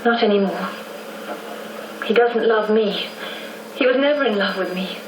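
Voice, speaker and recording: An actress in a radio drama playing a young gentlewoman, a contrite and devoted daughter. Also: mid-range voice, unhurried, close to an everyday voice. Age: young adult. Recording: roomy, noisy radio broadcast copy.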